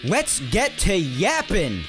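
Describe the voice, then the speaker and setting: A man hosting his own sports podcast, chatty and rambling, his voice slightly hoarse from yelling earlier. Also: sits high, takes it slowly, music in the background. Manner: animated, chatty, rambling asides. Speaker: podcast host. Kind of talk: solo sports podcast monologue.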